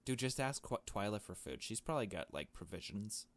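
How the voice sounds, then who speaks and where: A man's voice: lowish voice, lively intonation, a little fast. A man doing a let's play, reading a visual novel aloud and adding casual, blunt asides. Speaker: gaming video host.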